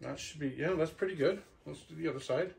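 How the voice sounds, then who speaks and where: A man's voice: on the high side, fast talker. A middle-aged man giving a casual hands-on unboxing review, talking half to himself as he handles the gear in a living room. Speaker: product reviewer. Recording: clicks and clear background noise.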